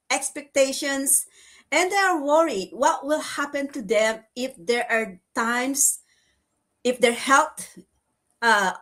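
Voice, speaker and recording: A woman with a high voice hosts a casual livestream over a video call. She laughs easily and often stops to restart her sentences as she talks. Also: fairly bright tone. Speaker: livestream host.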